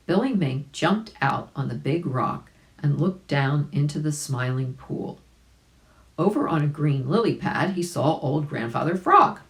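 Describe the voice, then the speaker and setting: A woman reading a children's story aloud in an expressive way, with chatty asides to young listeners. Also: low-pitched. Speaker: volunteer storybook reader.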